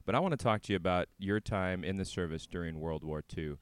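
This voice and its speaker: A man's voice: low voice, brisk, clean tone, no echo. A man hosting a radio show, interviewing guests and presenting links between segments.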